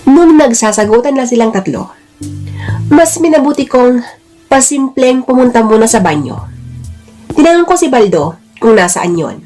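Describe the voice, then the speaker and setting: A woman narrating a dramatic first-person story in a deliberate, emotive manner. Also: on the high side, big pitch swings, warm tone. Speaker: narrator of a listener's letter drama. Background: music.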